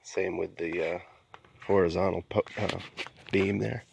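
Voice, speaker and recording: A man's voice: sits low, fairly even intonation, a little slow, gravelly, background noise. A man giving a casual explanatory vlog narration as an amateur builder, recorded outdoors on a handheld camera. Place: outdoors in a wooded yard at a building site. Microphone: handheld phone camera, speaker behind it.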